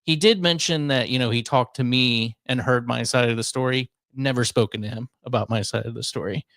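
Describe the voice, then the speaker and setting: A man's voice: mid-range voice, brisk, fairly bright tone, no echo, clean studio sound. A man hosting a radio show, talking in casual conversation. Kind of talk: casual chat, airing workplace grievances.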